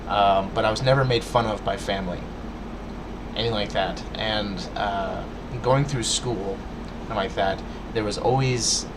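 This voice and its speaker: Guy ranting at his webcam, kinda low voice, stutters n restarts a lot, humming noise in the back. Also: measured pace. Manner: stutters, restarts, many fillers; earnest, lecturing, addresses viewers directly. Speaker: vlogger. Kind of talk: casual rant to camera.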